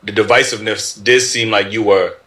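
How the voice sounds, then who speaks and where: A man with a lowish voice and a bright, forward edge. He talks casually, as the host of a discussion. He puts questions to guests in everyday language. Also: fairly even intonation, brisk. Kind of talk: casual interviewing.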